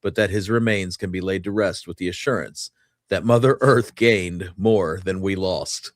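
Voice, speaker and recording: A man co-hosting a casual history discussion in a measured way, sometimes reading aloud from old clippings. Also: low voice, dry recording, studio quality. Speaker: co-host.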